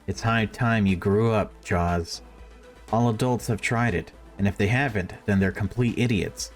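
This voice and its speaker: A man's voice: fairly low pitch, unhurried, soft background music, close to an everyday voice. A man voicing a brash, boozy young woman from a visual novel, teasing and cocky. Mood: cocky, goading.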